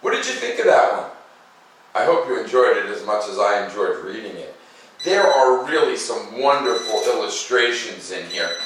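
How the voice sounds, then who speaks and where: Male children's storyteller, low voice, very lively intonation, dramatic and expressive. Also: normal speed, slight background noise, poor audio. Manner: animated, dramatic delivery. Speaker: read-aloud storyteller. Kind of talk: expressive children's storybook read-aloud with casual commentary.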